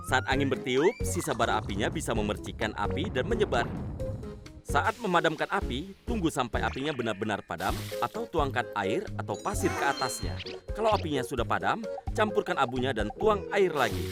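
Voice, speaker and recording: A man voicing a friendly, lively father in a cartoon dub, expressive and quick to laugh. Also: mid-range voice, lightly acted.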